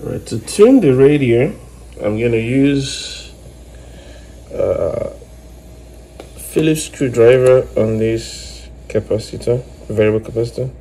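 A man explaining step by step in a halting way, as host of a hands-on electronics tutorial. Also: mid-range voice, expressive, mellow. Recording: clear background noise with clicks.

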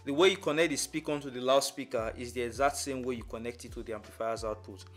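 A young man speaks at an average male pitch as he presents a tutorial. His delivery is measured and methodical, explaining each step in order to the viewer. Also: faint music behind. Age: young adult. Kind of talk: instructional explanation to camera. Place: small furnished room indoors.

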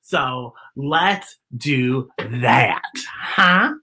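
A middle-aged man presents a story for small children with theatrical flair. His voice is bright and forward, and it swings widely up and down. He plays up his phrases and talks straight to the young listeners. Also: mid-range voice, animated, extremely slow. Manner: theatrical, playful, exaggerated delivery for children. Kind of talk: children's read-aloud with chatty asides. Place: living room, indoors.

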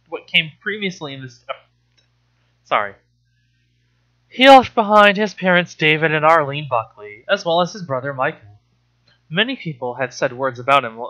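Bright, higher-voiced man, sarcastic reader. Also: muffled recording. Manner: incredulous, exasperated, profane asides. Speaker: host reading a novel aloud and mocking it. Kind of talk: sarcastic read-aloud with off-the-cuff commentary.

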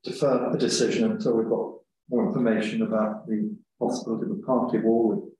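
A man's voice: on the low side, flat delivery, warm tone, slight background noise. Man chairing in an echoey room. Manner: brisk procedural chairing. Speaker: committee chair. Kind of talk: council meeting discussion. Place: large meeting room. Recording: echoey room with faint ambience.

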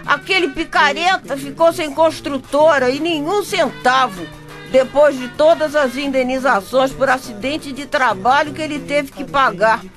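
A high-pitched Brazilian housewife tells her woes straight to the listener in a radio soap. She is gossipy and exasperated, with faint music behind her. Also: a little slow. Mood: indignant, scolding. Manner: chatty, addresses listeners directly. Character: exasperated, gossipy bricklayer's wife narrating her misfortunes. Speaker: narrator and character. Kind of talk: radio soap opera acting, chatty storytelling.

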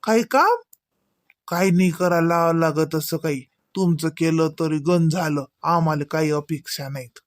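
A woman's voice: low-pitched, moderate pace, dry recording, studio quality, close to an everyday voice. A woman playing a modest, fretful old village grandmother in a rural comedy cartoon, grumbling in homely Varhadi Marathi. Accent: Varhadi Marathi, Vidarbha.

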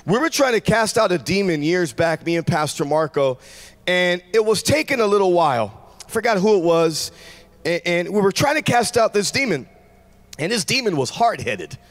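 A man in his forties preaching a sermon in a large church, speaking into a handheld mic with fervent, shouting delivery. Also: high voice, big pitch swings, normal speed. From United States. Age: 40s. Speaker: pastor. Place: large church stage with congregation.

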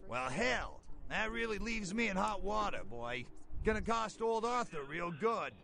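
A folksy, sleazy game bail bondsman, high-pitched and full of gravel. He talks in blunt slang, and his delivery swings widely up and down. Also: sing-song, measured pace, pushed and bright, full character voice. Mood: dismayed, griping. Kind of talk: video game character dialogue.

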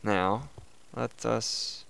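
A young man with a raspy voice, casually chatting and thinking aloud as he hosts a gameplay video. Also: medium pitch, extremely slow, slight background noise. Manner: relaxed, chatty, thinking aloud while playing. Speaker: let's play host.

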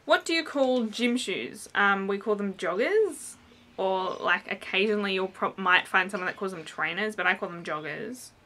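Bright-voiced young Australian woman. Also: medium pitch. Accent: Australian, New South Wales. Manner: chatty, rambling with many ums and likes. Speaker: vlogger. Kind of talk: casual vlog chat. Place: small room indoors. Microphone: camera in front, head and shoulders framing.

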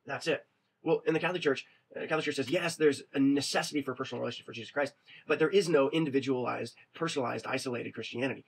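A man in his thirties giving a recorded webcam lecture on religion, talking quickly and conversationally and often checking in with "right?". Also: medium pitch, husky, poor audio. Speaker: religion teacher. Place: small indoor room. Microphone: webcam at desk.

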